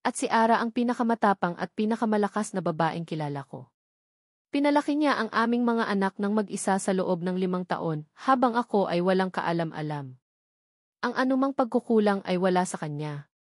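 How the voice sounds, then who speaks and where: An earnest, protective policeman and devoted father played by a woman narrator speaks with a Filipino accent in a romance audio story. The voice is mid-pitched and recorded dry and close. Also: animated, brisk, no room sound, close to an everyday voice. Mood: resolute.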